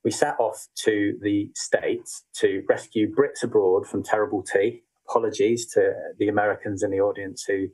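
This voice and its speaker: Low-voiced British man presenting, wry. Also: fairly even intonation, moderate pace, hardly any pauses, darkish tone, low-quality recording. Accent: British, not Yorkshire. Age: 40s. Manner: dry self-deprecating humour, frequent ums. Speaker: marketing director. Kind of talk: conference presentation. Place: remote conference session.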